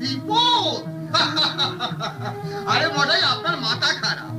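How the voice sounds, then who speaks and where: A very high, swooping voice belongs to a fussy, excitable middle-aged thriller writer. He exclaims and half-sings his jokes in old-style radio drama fashion, with music playing loudly behind him. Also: very slow, exaggerated voice, poor audio. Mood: jovial. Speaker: comic sidekick. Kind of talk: vintage radio drama acting.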